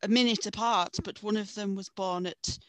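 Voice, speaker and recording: A woman's voice: mid-range voice, steady tone, normal speed, a bit bright, dry recording. A woman co-hosting a podcast, chatting playfully with goofy asides. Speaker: podcast co-host. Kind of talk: casual banter.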